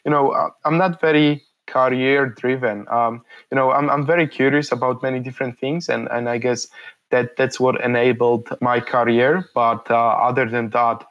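A man's voice: mid-range voice, steady tone, normal speed, highs cut off. A man in his thirties from Poland, Polish his first language, answering interview questions in a hesitant, reflective way with many fillers and restarts.

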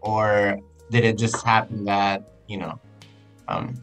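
Male interviewer, lowish pitch, halting and casual, fillers throughout, faint music behind. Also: monotone, a bit bright. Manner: hesitant, lots of fillers and you-knows.

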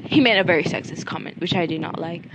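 A woman's voice: on the low side, fast, background noise. A young woman in a casual book review vlog, ranting bluntly and chattily while she is losing her voice.

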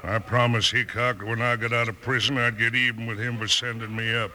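An actor playing a tough, vengeful American outlaw gang leader in an old-time radio western, curt, commanding and menacing. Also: male voice, mid-range voice, level tone, a little fast, bright, forceful tone. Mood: sly, vengeful. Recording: very noisy old radio recording with clicks.

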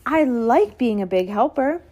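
Storybook little tiger boy, played by a woman: high, eager, curious, giggly, swooping lively delivery. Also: unhurried, highs cut off. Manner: giggly, exclaiming, childlike wonder.

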